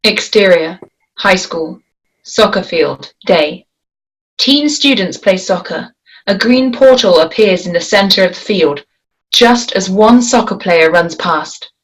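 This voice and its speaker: A woman reads a screenplay's stage directions aloud at a table read. Her delivery is measured and descriptive, at an ordinary middle pitch. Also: low-quality recording. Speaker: narrator reading stage directions.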